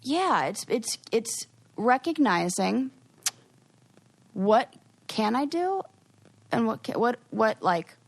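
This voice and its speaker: A young woman co-hosting a podcast, reacting with quick enthusiasm in casual chat. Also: fairly high pitch, big pitch swings, vocal fry, dry recording. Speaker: podcast co-host.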